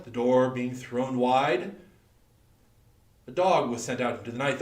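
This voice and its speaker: A man telling a horror folktale dramatically, deliberate and suspenseful, with long pauses. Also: mid-range voice. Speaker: storyteller. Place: indoor room, live online performance. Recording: echoey room, distant microphone.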